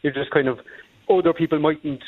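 Irish guy, 40s, calling in over a tinny phone line, talks fast, rambles a lot. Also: on the high side, fairly even intonation, dry recording. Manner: rambling, hedging, full of fillers. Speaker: caller. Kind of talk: call-in radio chat.

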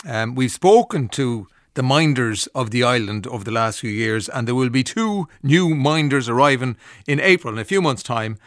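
A man's voice: medium pitch, lively intonation, moderate pace, hardly any pauses, a bit bright, dry recording, clean studio sound. An Irish man hosting a radio show, chatting easily and reading out listener messages. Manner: easygoing, chatty, reads messages aloud. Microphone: studio microphone.

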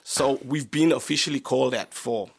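South african guy, comedian on the radio, bit of fry in the voice, laughs a lot. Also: mid-range voice, moderate pace. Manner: open, animated storytelling, laughs often. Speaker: comedian guest. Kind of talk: casual radio interview. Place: radio studio.

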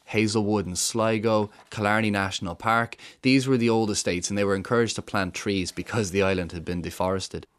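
A man's voice: on the low side, fairly even intonation, brisk, hardly any pauses, clean tone. An Irish man explaining his subject earnestly in an interview, with frequent fillers and restarts. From Ireland. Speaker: guest, woodland charity founder. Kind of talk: interview conversation.

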